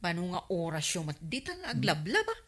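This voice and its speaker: Lively, nosy Ilocano neighbour. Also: female voice, fairly low pitch, fairly quick, heavily acted. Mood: nosy, teasing. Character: nosy, teasing female village neighbour. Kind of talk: Ilocano radio comedy drama acting.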